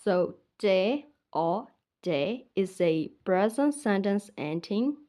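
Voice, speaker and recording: A woman's voice: mid-range voice, lively intonation, slow, warm tone. A young woman from Myanmar with a Burmese accent, teaching her language in a casual lesson and patiently saying each word twice.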